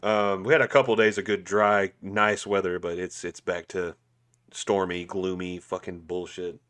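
Deep-voiced young man, laid-back and sweary. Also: little pitch movement, normal speed, fairly bright tone. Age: 20s. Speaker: podcast co-host. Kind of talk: casual chat.

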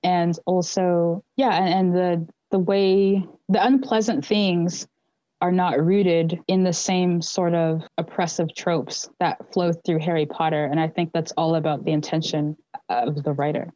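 A woman with a low, mellow voice co-hosts a relaxed book discussion. She muses her way through each thought and often backs up to rephrase. The recording is dry and a little muffled. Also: unhurried, dry recording. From Zambia, raised in the United States. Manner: reflective, thinks aloud.

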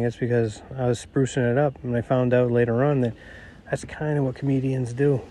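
A middle-aged man with a nasal voice hosting a podcast on his own, rambling and self-deprecating, talking quietly outdoors. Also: medium pitch, slight background noise. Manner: quiet, not projecting. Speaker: podcast host. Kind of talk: casual solo monologue.

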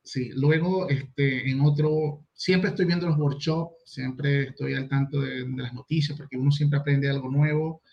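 A man's voice: medium pitch, fairly even intonation, moderate pace, gravelly, hardly any pauses. Courteous Venezuelan man, dull lo-fi audio. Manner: courteous, slightly formal. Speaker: guest, university lecturer and property investor. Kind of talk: polite interview answers.

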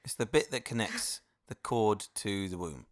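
A man's voice: lowish voice, expressive, moderate pace. A man from the Cardiff area co-hosting a casual chat, cracking jokes and puns. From Cardiff area, Wales. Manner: joking, wordplay. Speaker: podcast co-host. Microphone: headphones on, studio mic.

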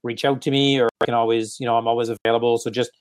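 A man with a slight French accent, a real estate agent talking through his email follow-ups, halting and often restarting his sentences. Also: mid-range voice, level tone. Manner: halting, restarts often, many "you know"s. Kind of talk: casual coaching conversation.

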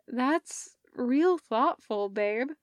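A woman voicing an easygoing, affectionate, excitable man in a story, with expressive delivery. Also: fairly high pitch, very slow, no room sound, clean studio sound. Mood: fond. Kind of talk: storybook character voice.

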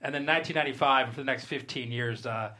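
A man speaking casually as a screenwriter guest, halting and repeating phrases as he explains. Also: medium pitch, sharp tone. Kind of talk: casual interview talk.

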